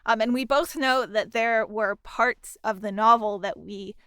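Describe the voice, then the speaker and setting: A woman interviewer with a fairly high, smooth and resonant voice asks measured, thoughtful questions. Her voice is recorded close and dry. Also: fairly bright tone, no room sound.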